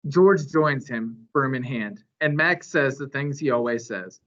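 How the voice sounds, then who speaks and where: A man reads a children's novel aloud as a storyteller. His phrasing is dramatic and his pitch swings widely through the narration. The recording is a little dull and lo-fi. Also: animated, measured pace, a bit bright. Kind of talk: expressive read-aloud narration.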